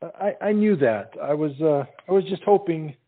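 A man's voice: on the high side, expressive, moderate pace. A man playing an anxious, dim young soldier suitor who hesitates and stammers in a stage comedy. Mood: nervous, evasive. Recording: muffled, tinny narrow-band sound.

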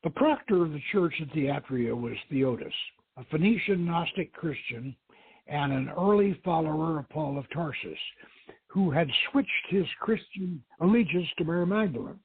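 A man's voice: a little high, animated, normal speed. A man who hosts the show, giving a dramatic reading of a novel. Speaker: host reading a novel aloud. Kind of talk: dramatic audiobook-style reading. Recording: thin, phone-like radio line.

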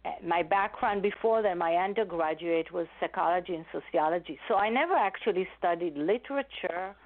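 A woman's voice: on the low side, a little fast. An older woman from Israel with an Israeli accent, speaking conversationally as an interview guest. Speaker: guest novelist. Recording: tinny phone line.

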